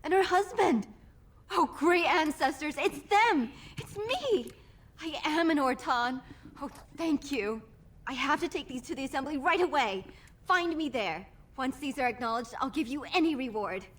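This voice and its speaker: A woman's voice: sits high, expressive, moderate pace, fairly bright tone. A woman playing an earnest, grateful young dwarf noblewoman in a fantasy video game. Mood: overjoyed. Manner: earnest, heartfelt.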